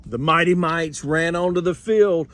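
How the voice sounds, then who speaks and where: An older man reading a children's storybook aloud with expressive, deliberate phrasing. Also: on the high side. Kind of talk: animated children's storybook narration.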